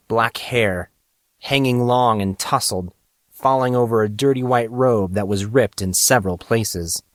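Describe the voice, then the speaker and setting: A man reading scripted fantasy fiction as an audiobook narrator, with deliberate, dramatic storytelling. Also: mid-range voice, fairly bright tone, no room sound, studio quality.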